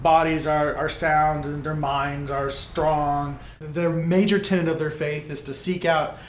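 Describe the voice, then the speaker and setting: A man, a tabletop player, casually and haltingly describing his game character. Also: on the high side, level tone. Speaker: tabletop role-playing player. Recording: echoey room with faint noise.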